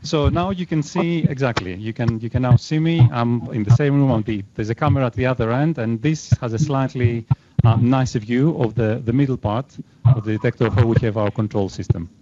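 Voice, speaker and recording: A man's voice: medium pitch, brisk, few pauses, warm tone, lo-fi sound. A man guiding a live virtual tour of a control room, speaking hesitantly with many fillers. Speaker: tour guide at the experiment.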